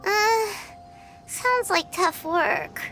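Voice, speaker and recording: Casting: tiny childlike game fairy, extremely high squeaky voice, curious and naive, unhurried pace, music behind. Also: female voice, bright, forceful tone, exaggerated voice. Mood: curious, puzzled. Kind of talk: scripted video game dialogue.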